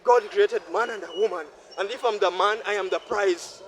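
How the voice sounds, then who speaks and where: A man in his twenties from Uganda, talking to himself in a repetitive, preachy monologue while walking a city street at night, sweaty from walking fast. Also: high voice, a little hiss or hum. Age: 20s. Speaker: vlogger. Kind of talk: rambling night-walk monologue.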